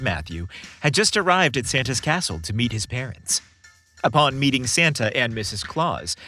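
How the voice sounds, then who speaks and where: A man hosting a podcast and narrating a scripted story in a theatrical, expressive way. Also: mid-range voice.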